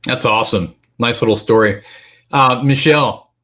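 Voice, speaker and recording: A man moderating an online panel discussion in a relaxed, chatty way, over a video call. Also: mid-range voice, normal speed.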